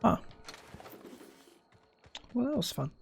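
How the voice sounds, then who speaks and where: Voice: takes it slowly, faint music behind. A gamer chatting casually during play, with playful, incredulous questions. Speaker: streamer playing a video game. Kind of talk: casual gaming chat.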